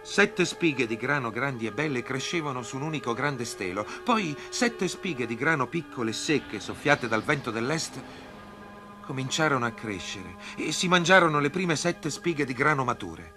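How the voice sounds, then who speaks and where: A man's voice: mid-range voice, animated, moderate pace, music in the background, close to an everyday voice. A man playing a commanding, troubled Egyptian pharaoh in a cartoon, speaking solemnly and declaiming. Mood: grave, narrating dreams. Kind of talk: dubbed cartoon acting.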